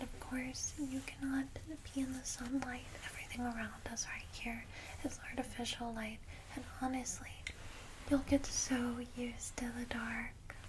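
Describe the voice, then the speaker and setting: A woman whispers softly and gently in an ASMR roleplay as a kindly, reassuring vampire secretary guiding a newcomer. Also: a little high. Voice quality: soft breathy whisper. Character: kindly vampire secretary welcoming a newly turned vampire. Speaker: ASMR roleplay creator playing a guide to new vampires. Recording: close whisper with clear background noise.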